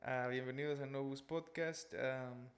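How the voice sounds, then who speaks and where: A man's voice: mid-range voice, steady tone, unhurried. Chatty man hosting a faith podcast. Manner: chatty, many fillers and restarts. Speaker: podcast host. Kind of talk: casual religious podcast hosting.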